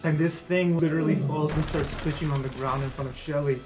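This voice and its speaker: A man's voice: on the high side, steady tone, a little fast, heavy background noise. A man narrating an improvised tabletop game as game master, informal and given to swearing. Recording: music behind the voice. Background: loud background music.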